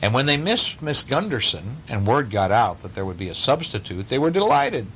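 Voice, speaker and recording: A man reads a bedtime story in a middle-pitched voice with very lively intonation. He slips easily into chatty, questioning asides for a young listener. A faint rumbling noise runs under the recording. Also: normal speed. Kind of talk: animated bedtime story reading with casual asides.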